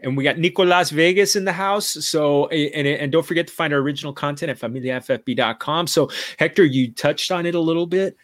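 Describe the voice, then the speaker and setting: A man's voice: on the high side, quick pace, hardly any pauses. Upbeat middle-aged man hosting a podcast. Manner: animated, mixes in Spanish exclamations, many restarts. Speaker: podcast host. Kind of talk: casual chat.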